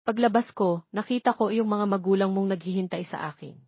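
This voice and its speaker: A woman's voice: mid-range voice, a little fast, breathy edge, no room sound, muffled recording. A woman voicing a hurt, tearful young mother in an emotional, pleading way for an audio romance drama. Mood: tearful, sorrowful.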